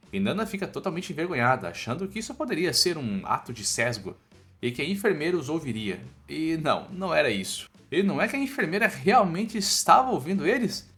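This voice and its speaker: A man's voice: fairly high pitch, bright, forceful tone. A man with a Brazilian accent narrating a scripted comic story recap in a playful, colloquial storytelling manner. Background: background music.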